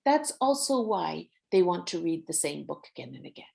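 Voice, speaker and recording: A woman's voice: lowish voice, sing-song, moderate pace, gravelly, darkish tone. A woman with a Nepali accent giving an encouraging, explanatory presentation to parents as a workshop presenter. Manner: encouraging, instructive, mixes Nepali phrases into English. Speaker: workshop presenter on early reading.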